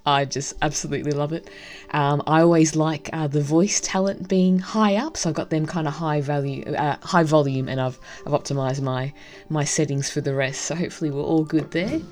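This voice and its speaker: A woman with a low, mellow voice hosts a game playthrough. She moves between casual commentary and reading narration aloud, with faint music behind her. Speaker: gaming video host.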